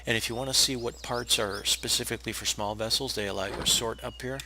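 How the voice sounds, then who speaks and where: A hoarse, raspy man who is feeling unwell, casually talking viewers through a game build step by step with plenty of pauses and restarts. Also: medium pitch, noisy background. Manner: relaxed, explanatory, with frequent uh fillers and restarts. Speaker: game tutorial narrator. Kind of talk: casual step-by-step gameplay tutorial.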